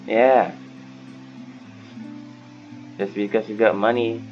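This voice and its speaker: A man hosts a casual game playthrough in a slow, creaky voice. He mutters and thinks aloud over the game. The sound is a little dull, with clear music behind. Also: lowish voice, flat delivery. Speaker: gaming host.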